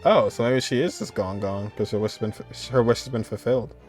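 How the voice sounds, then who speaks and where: Low-voiced man casually commenting on a game. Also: a little fast, a little mellow. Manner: informal, exasperated teasing, thinking aloud. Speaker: gaming streamer. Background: game music.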